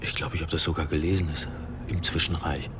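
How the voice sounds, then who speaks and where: An actor playing a sober, determined man helping with a mystery, thoughtful and low-key, in a radio drama. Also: fairly even intonation, fast talker, background noise. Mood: pensive.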